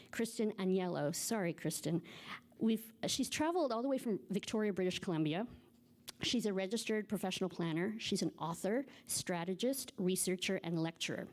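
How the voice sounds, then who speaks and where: A woman hosts a panel on a conference stage, reading biographies from her notes in a measured, formal way. Her voice sits at an ordinary middle pitch, and she now and then stumbles over a name before carrying on. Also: darkish tone. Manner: formal, reads from notes, trips over names. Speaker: panel moderator. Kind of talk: reading speaker bios and moderating a panel.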